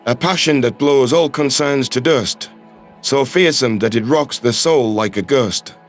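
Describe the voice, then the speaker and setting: A man's voice: medium pitch, unhurried, faint music behind, voice pushed down, lightly acted. A man voicing a playful, romantic human ambassador who speaks with shy self-deprecation in a sci-fi audio story. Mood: romantic, bashful.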